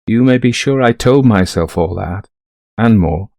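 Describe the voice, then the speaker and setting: An actor playing a middle-aged, greying widower in a ghost story, confiding an eerie haunting to a friend in genteel, old-fashioned phrasing. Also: male voice, fairly low pitch, lively intonation, measured pace, creaky, dark tone, no echo, studio quality. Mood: uneasy, wry. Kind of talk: dramatised first-person ghost story narration.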